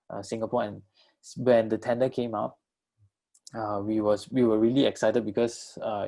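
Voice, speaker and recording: A man from Malaysia presents his venture in a low, gravelly voice. He talks in a halting way, with pauses, fillers and restarts, and he takes questions from an online audience. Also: warm tone. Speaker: co-founder presenting his enterprise. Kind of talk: virtual talk with live questions.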